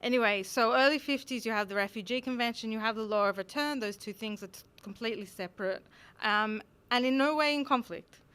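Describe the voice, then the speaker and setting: A woman with an Australian accent giving an explanatory talk at a conference in a measured, lecturing way. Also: a little high, fairly bright tone, clean tone. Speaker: conference speaker.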